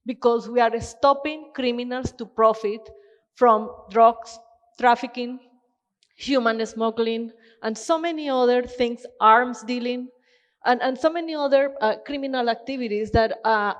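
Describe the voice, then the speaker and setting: A woman's voice: a little high, moderate pace, dull audio. A woman from Colombia with an accent, giving an earnest, passionate conference presentation with frequent fillers. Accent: Colombian-Canadian Spanish-tinged English. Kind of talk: keynote presentation. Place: conference hall. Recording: long hall reverb.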